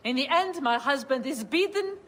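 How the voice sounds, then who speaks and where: Impassioned high-voiced poet's wife. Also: female voice, expressive, normal speed, a bit bright. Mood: indignant, grieving. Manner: impassioned, lamenting. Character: indignant, devoted wife of jailed poet. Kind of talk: dramatic game acting. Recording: slight hall reverb.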